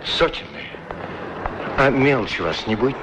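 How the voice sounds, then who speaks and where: A man's voice: medium pitch, lively intonation, moderate pace, natural voice. A man acting a humble, chatty, deferential street shoeshine man in a 1960s radio play. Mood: matter-of-fact. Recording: noisy old radio drama recording.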